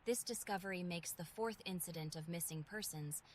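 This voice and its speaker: A woman playing a composed television news reporter in a video game, with formal, measured broadcast delivery. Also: on the low side, a little hiss or hum, close to an everyday voice. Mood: serious, formal.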